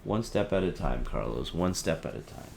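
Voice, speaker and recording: A man playing an agitated, conspiracy-minded husband in an audio drama, rambling, halting and searching for words. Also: sits low, lively intonation, gravelly, a little mellow, slight background noise. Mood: hesitant, wry. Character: agitated conspiracy-minded husband piecing together a dystopian plot. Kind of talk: audio drama, conversational dialogue.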